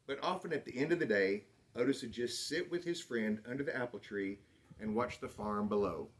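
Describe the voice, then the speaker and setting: A man reading a children's picture book aloud in an expressive, dramatic storytelling manner. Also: mid-range voice, fairly quick. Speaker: storybook reader.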